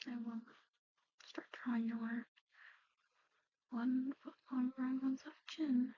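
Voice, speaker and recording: Woman, ASMR roleplay, whispery soft speech, higher-pitched, halting and conversational, slightly dull recording. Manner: hesitant, halting, gently conversational.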